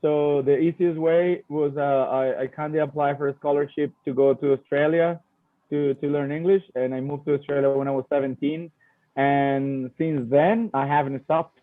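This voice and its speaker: A man's voice: fairly high pitch, steady tone, normal speed, no room sound. A Colombian man in his thirties, a native Spanish speaker, talking informally and presenting his company over an online call. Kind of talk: casual talk and informal presentation. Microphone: video call. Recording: muffled phone-like online call audio.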